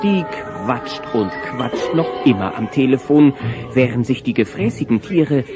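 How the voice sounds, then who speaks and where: Male narrator, mid-pitched, very animated, dramatic, children's audio play, music behind. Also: a little slow. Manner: dramatic, mock-suspenseful. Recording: muffled old cassette audio play.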